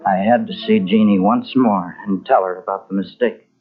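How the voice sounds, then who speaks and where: A man's voice: lowish voice, steady tone, normal speed, husky. An actor playing a guilt-ridden, weary and bitter man confessing a murder in a 1940s radio mystery. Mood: haunted. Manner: brooding, dramatic. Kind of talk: 1940s radio mystery acting, first-person narration. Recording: muffled old radio recording with music behind.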